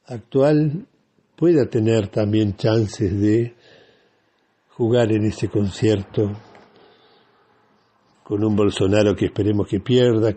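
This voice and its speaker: An Argentine man hosting a radio talk show, giving opinionated news commentary in a deliberate, emphatic way with pauses between phrases and a husky voice. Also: lowish voice, dark tone. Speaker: radio host.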